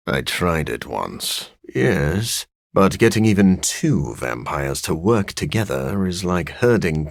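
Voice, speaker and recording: A man playing a brooding, dryly witty vampire scientist in a video game, husky and raspy, speaking in a formal, sardonic way. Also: low voice, dry recording, clean studio sound, heavily acted. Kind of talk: video game character voice acting.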